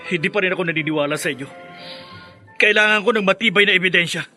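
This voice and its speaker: A man's voice: high-pitched, fast, bright, forceful tone, faint music behind. A man acting a bitter, spoiled grown child with a scornful, theatrical delivery in radio melodrama. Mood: shocked, disbelieving.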